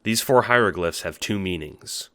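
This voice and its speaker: A man's voice: low voice, normal speed, a bit bright. A man playing a meek, earnest young male troll who talks with a hesitant, apologetic stammer in an audio drama monologue. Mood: earnest, intrigued. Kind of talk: audio drama monologue, recounting adventures as a log.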